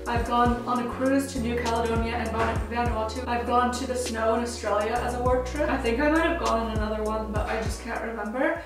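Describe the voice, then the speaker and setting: A woman's voice: fairly high pitch, steady tone, fairly quick, hardly any pauses, very echoey, recorded from a distance, music in the background. A young American woman in her twenties, chatting upbeat to camera as a travel vlogger, with plenty of casual fillers. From United States. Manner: chatty and upbeat, frequent "like" fillers, laughs easily. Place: small indoor room at home.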